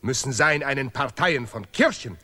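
A man's voice: medium pitch, lively intonation, moderate pace, bright, forceful tone, slightly rough, exaggerated voice. An actor playing a zealous, self-important male laureate, pious and bigoted, speaking emphatic broken grammar in an absurdist radio play.

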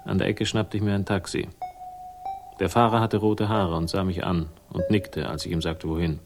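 A low-voiced, battered hard-boiled man, narrating a 1960s radio thriller. He is dry, laconic and sardonic, with music behind him. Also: little pitch movement, normal speed. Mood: dry, resolute. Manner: dry, world-weary, laconic. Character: weary, battered, sardonic male crime-story narrator bent on revenge. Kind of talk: late-1960s German radio crime drama, first-person narration.

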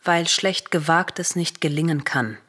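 An actress playing a cautious, reasoning royal sister in a classical radio drama, speaking in formal, measured, admonishing verse. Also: female voice, sits low, fairly bright tone, husky, full character voice.

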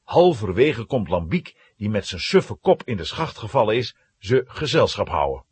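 A male narrator with a very husky, breathy voice tells a children's adventure. His pitch swings dramatically from phrase to phrase, and the sound is close and dry. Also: big pitch swings, normal speed, a little mellow, no room sound.

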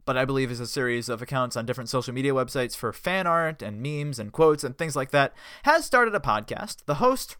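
A young man rattles through fan news in an upbeat, chatty way, catching and correcting his own slips. His voice is bright and a touch high, and it sits close and dry on a home microphone. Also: no echo. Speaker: fan news podcast host. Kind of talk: casual solo news podcast.

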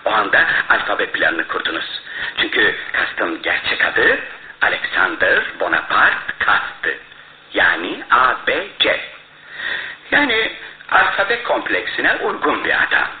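An actor in a radio play as a shrewd, self-assured male detective who explains his case in a theatrical, deliberate way. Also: lowish voice, wildly swooping pitch, full character voice. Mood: accusing, methodical. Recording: muffled, roomy radio drama recording.

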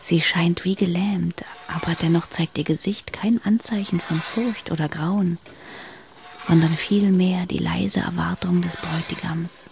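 A woman narrating a literary radio play in a hushed, whispery, deliberate manner. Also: lowish voice, steady tone, gravelly.

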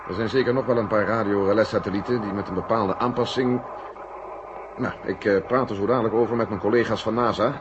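A man's voice: lowish voice, close to an everyday voice. A man playing an authoritative, drily ironic general in a 1970 radio drama. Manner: commanding, dry irony. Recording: noisy 1970 radio recording.

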